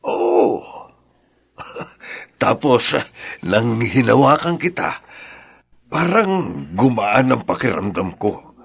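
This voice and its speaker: An actor playing a devoted, middle-aged Filipino father in a radio drama, speaking earnestly and softly with a gravelly, husky voice. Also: mid-range voice, heavily acted. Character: tender, devoted adoptive father and gentle husband. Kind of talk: Tagalog radio drama acting.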